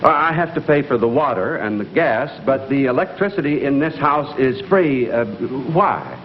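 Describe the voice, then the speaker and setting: A bewildered, polite male tenant played by a sitcom actor, mid-pitched with big swings of intonation. He speaks haltingly and apologetically on a muffled vintage TV soundtrack. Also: expressive, slight background noise, lightly acted. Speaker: character actor. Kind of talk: 1960s TV sitcom acting. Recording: muffled 1960s TV soundtrack with audience.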